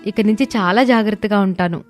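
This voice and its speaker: A woman voicing a sweet, dutiful young daughter-in-law in an animated moral story. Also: mid-range voice, fairly quick, soft background music, lightly acted. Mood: gentle.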